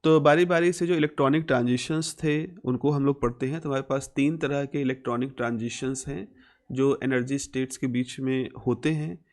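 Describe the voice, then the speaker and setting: A man's voice: mid-range voice, steady tone, a little fast, a little mellow. A man with an Indian accent lecturing as a physics teacher, explaining step by step and repeating key phrases.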